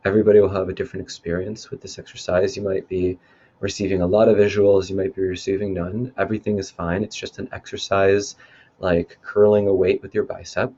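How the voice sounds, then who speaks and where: A man leading a guided meditation in a calm, unhurried, instructive way. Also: low-pitched, lo-fi sound, a little hiss or hum. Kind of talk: guided meditation, spoken softly to listeners.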